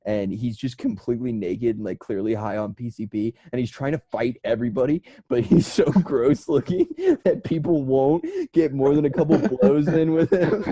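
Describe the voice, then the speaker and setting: A man's voice: mid-range voice, lively intonation, measured pace, gravelly, mellow, husky, dry recording, dull audio. A man with an American accent in casual comedic podcast chat, joking, laughing often and swearing freely. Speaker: podcast co-host.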